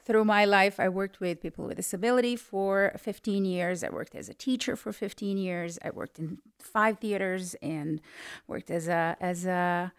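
Middle-aged woman from Serbia, theater artist, lively and self-mocking. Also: lowish voice, normal speed, few pauses, smooth. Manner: animated, self-deprecating, laughs while talking.

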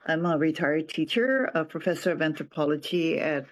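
An older man from Hawaii, a retired professor, giving a reflective personal account with frequent pauses and restarted phrases. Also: a little high. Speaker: retired professor and nonprofit board president.

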